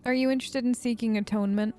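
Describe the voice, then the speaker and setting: A woman's voice: a little high, steady tone, racing, mellow, faint music behind. A woman hosting a gaming video, role-playing her side of the dialogue in a chatty, wry, informal way. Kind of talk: let's play role-play, casual in-character dialogue.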